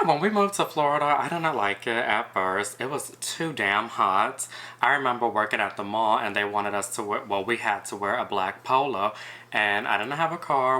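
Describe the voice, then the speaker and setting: A high-voiced young man, sassy and outspoken as he co-hosts a casual chat. His tone is bright and forceful, sitting at an ordinary pitch for a man. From Brooklyn, New York. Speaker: co-host.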